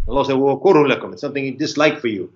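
Fast-talking American man on a muffled video call. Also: medium pitch. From United States (Virginia). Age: 40s. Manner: talkative, frequent restarts and fillers, Arabic religious phrases. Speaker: guest speaker, Islamic lecturer. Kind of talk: live online interview. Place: indoor room, plain wall. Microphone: video call webcam.

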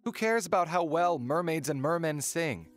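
A man's voice: fairly high pitch, lively intonation, moderate pace, faint music behind, close to an everyday voice. A man playing a rebellious, earnest young merman prince in an audio drama, defensive and pleading. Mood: apologetic.

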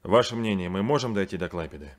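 An actor playing a composed, authoritative ship's captain giving crisp orders in a 1970s Soviet radio drama. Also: male voice, low voice, lively intonation, fast. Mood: stern, controlled. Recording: noisy 1970s radio play recording.

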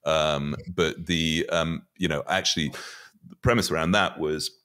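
A middle-aged man giving conversational market commentary as a guest, often halting and repeating words as he thinks. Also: extremely low pitch, steady tone, sharp tone. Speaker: podcast guest, finance commentator. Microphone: podcast mic on boom arm.

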